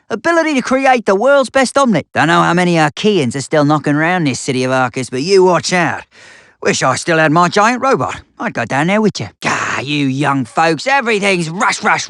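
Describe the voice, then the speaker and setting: A male actor playing an eccentric, paranoid old man in a video game, with a folksy, rambling, grumbling and excitable manner. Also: on the high side, no room sound, studio quality. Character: eccentric, cloud-paranoid old man, cantankerous ranting inventor. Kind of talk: video game character acting.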